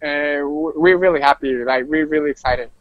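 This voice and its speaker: A man's voice: on the high side, normal speed, dry recording, dull audio. A man chatting casually as a podcast guest, slangy and repeating phrases for emphasis. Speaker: podcast guest, fighting game player. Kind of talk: casual chat.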